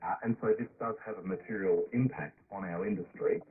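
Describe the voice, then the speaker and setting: A husky-voiced man speaking as an industry spokesperson in unscripted remarks, relayed over shortwave radio. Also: on the low side, brisk, slight background noise. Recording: muffled narrow-band shortwave radio clip.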